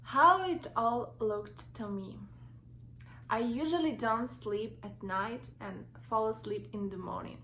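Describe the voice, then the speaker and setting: A woman's voice: on the high side, sharp tone, a little hiss or hum, rough recording. Young Ukrainian woman reading her story. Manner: halting, with many fillers and restarts. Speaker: vlogger. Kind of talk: reading a personal story from notes.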